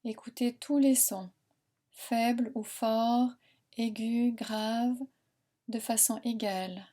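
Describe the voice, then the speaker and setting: Female meditation guide, fairly high voice, very slow soothing delivery with long pauses. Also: fairly even intonation, dark tone. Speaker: relaxation and yoga nidra guide.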